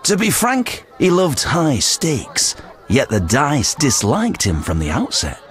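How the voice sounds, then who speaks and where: Wildly expressive wheedling gambler. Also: male voice, mid-range voice, slow. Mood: sly. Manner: polite, flowery, insinuating. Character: smooth-talking, wheedling male dice gambler. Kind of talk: video game dialogue acting.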